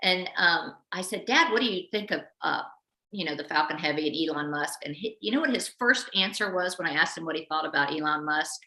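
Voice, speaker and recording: A woman hosting a casual interview, speaking haltingly and repeating words as she thinks. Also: low voice, expressive, bright, forceful tone, reverberant, rough recording. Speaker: host.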